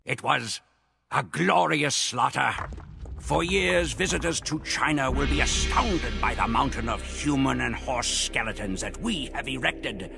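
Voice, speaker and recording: A man voicing a grim Mongol warrior who narrates the campaign in measured, solemn storytelling for game cutscenes. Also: mid-range voice, expressive, vocal fry. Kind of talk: scripted dramatic narration. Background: music.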